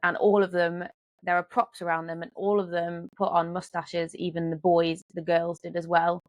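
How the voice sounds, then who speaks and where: A woman's voice: fairly low pitch, level tone, moderate pace, no room sound. A woman guest on a podcast, earnestly retelling something she saw in casual chat.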